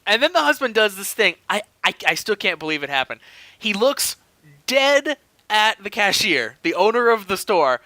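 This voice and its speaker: A man with a high-pitched, lively voice that swings around as he chats and tells funny stories. He sounds animated and ready to chuckle, like a casual podcast co-host, and the recording is close and dry. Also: moderate pace, no echo. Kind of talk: casual comedic storytelling chat.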